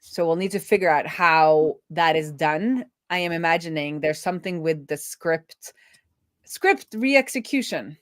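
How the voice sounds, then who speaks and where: A woman with a slightly low voice gives a casual running commentary while coding on a live stream. She thinks aloud as she works. The sound is close and dry, with little room on it. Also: no room sound. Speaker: live-streaming web developer.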